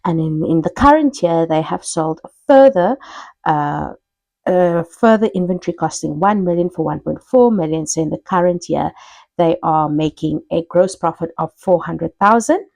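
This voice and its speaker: Low-pitched woman teaching, dry close sound. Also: normal speed, gravelly, darkish tone, no room sound. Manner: conversational teaching, checks in with "okay" and "right". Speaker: accounting lecturer. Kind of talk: explanatory tutorial, working through an example step by step.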